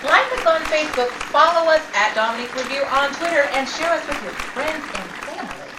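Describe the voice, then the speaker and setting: A woman who hosts and performs in a radio-style show, animated as she announces and chats with castmates. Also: high voice, fast, a crowd in the background. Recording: noisy echoey live room, far from mic.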